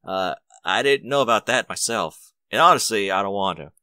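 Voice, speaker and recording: A man's voice: fairly low pitch, expressive, moderate pace, bright, forceful tone, clear, resonant tone, no echo, clean studio sound. A man voicing a young male dragon who is eager to please and awkwardly bashful, in a storybook reading. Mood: awkward, evasive.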